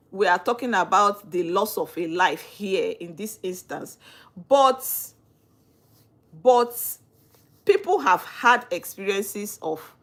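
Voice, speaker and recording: A woman's voice: medium pitch, a bit bright. Nigerian woman, young adult, earnest livestream host, rhetorical questions, chatty with viewers. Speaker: livestream host on legal topics. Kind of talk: live-stream talk answering viewer comments.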